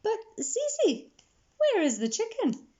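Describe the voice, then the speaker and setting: Woman playing a high, curious storybook granny. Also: wildly swooping pitch, slow pace, warm tone, exaggerated voice. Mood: curious, pleased. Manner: exclaiming, inquisitive. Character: curious grandmother at a family feast. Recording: dull, lo-fi sound.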